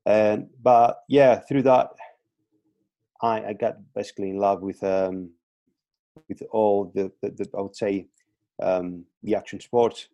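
Greek man with a low warm voice. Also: fairly even intonation, measured pace, pauses often, no echo. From Greece (Crete). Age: 30s. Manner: hesitant, repeats words, many fillers. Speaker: strength and conditioning coach, guest. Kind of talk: casual podcast interview.

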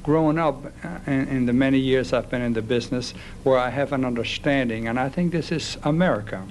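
A man talks casually in an interview with a medium-pitched voice. His phrasing is folksy and halting, and faint noise sits behind the old radio recording. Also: darkish tone, rough recording. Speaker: interview guest, entertainer.

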